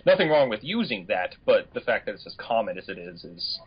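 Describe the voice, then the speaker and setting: A man from the United States co-hosting a casual fan podcast, talking in a measured, politely hedging way. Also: lowish voice, big pitch swings, husky. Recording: dull, lo-fi voice call audio.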